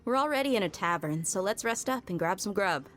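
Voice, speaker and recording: A woman's voice: mid-range voice, expressive, fairly quick, fairly bright tone, soft background music, lightly acted. An actress playing a tough, principled woman mercenary bodyguard in a game, blunt and casual in manner. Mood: easygoing. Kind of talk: game voice acting.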